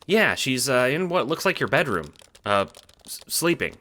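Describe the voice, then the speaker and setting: A man voicing an excitable, awkward teenage boy in an audio drama, stammering and exclaiming. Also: mid-range voice, moderate pace, background noise, voice pushed up, lightly acted. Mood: awkward, defensive.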